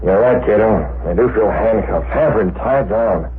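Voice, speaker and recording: An actor playing a restless, bored newspaper publisher with a secret vigilante life, in an old radio drama. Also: male voice, fairly low pitch, moderate pace, close to an everyday voice. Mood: restless, intent. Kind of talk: 1940s radio acting. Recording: tinny, noisy old radio recording.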